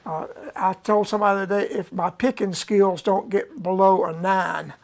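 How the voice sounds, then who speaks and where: Old guy in his 70s, gravelly voice, folksy slow rambling chat on a live stream. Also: sits high, fairly even intonation. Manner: folksy, unhurried, many "uh" pauses. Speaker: musician hosting a live stream.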